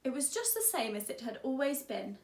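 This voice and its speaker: A woman teacher reading a children's story aloud, expressively and deliberately, drawing out words. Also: high-pitched, very animated, reverberant.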